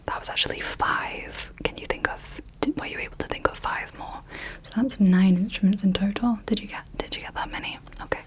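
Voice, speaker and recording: A woman speaking in a soft, breathy whisper as a gentle, encouraging ASMR host leading the listener through little games. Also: mid-range voice, steady tone, a little fast.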